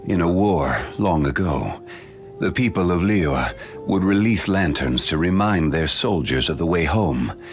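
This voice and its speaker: A deep, ancient, composed sage. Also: male voice, expressive, faint music behind. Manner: measured, formal and unhurried. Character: ancient composed male sage, calm and dignified. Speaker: game character voice actor. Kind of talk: studio game voice lines.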